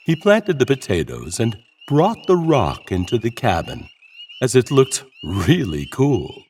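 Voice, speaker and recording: A man narrating a scripted horror story in a dramatic, deliberate storytelling manner. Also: mid-range voice, huge pitch swings, a little mellow, a little hiss or hum. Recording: music bed under the voice. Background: music.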